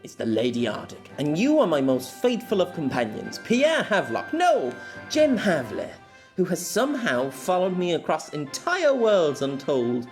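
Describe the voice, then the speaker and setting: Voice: mid-range voice, sing-song, normal speed, faint music behind, voice pushed up, lightly acted. A narrator voicing a spirited, imperious young warrior noblewoman with formal, old-fashioned and emphatic speech in an amateur audiobook. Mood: warm, grand. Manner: formal archaic phrasing, emphatic. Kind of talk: amateur audiobook character acting.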